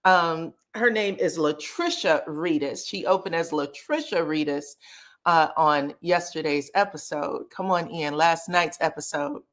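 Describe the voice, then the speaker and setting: A woman's voice: low voice, measured pace, fairly bright tone. A woman, chatting casually as she hosts a livestream recap and greets viewers by name. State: slightly scratchy voice. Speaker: reality TV recap livestream host.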